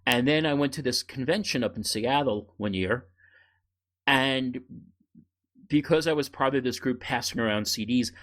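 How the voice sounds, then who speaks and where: A middle-aged man talks as a podcast co-host in a casual, conversational way. His pitch sits in the middle for a man. He tells stories with animation and slips into quoted voices as he goes. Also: normal speed, fairly bright tone. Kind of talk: casual chat, storytelling.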